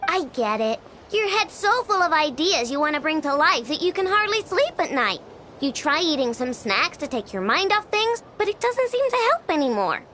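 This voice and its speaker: A woman's voice: high voice, sing-song, normal speed, sharp tone, a little hiss or hum, full character voice. A woman voicing a small, bossy girl inventor in an anime-style game, blunt and self-important but kind underneath. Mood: blunt, knowing.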